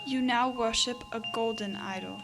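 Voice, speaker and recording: Over music, a woman plays a gentle young fiancée in a period radio play. The voice is high, earnest and measured, full of resigned sorrow. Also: gravelly. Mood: sad, resigned. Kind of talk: radio drama acting.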